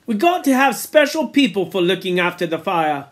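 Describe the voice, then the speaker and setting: A man's voice: high voice, very animated, moderate pace. A man reading aloud and voicing a boy who leads a group of stranded schoolboys, calling out commands and speaking earnestly about rescue and rules. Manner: loud, urgent calls.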